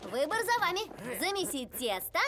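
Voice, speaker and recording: A woman voicing a plucky, bossy young gnome in a cartoon film dub, brisk and cheeky. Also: extremely high pitch, very animated, background noise. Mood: cheerful. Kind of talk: animated film dubbing. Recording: loud music behind the voice.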